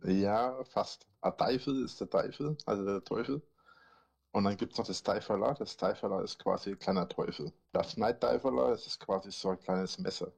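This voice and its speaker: A man's voice: fairly low pitch, normal speed, creaky, a little mellow, breathy edge. A man with a Franconian accent, rambling in casual chat as he quizzes listeners on dialect words he answers himself. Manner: rambling, asks and answers his own quiz questions.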